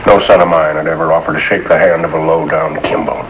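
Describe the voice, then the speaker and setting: A man's voice: a bass voice, level tone, fast talker, highs cut off. An actor playing a proud, vengeful backwoods father with a rural American accent in a 1950s television drama. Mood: bitter, stern. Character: stern, proud, vengeful backwoods family patriarch. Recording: faintly noisy old TV soundtrack.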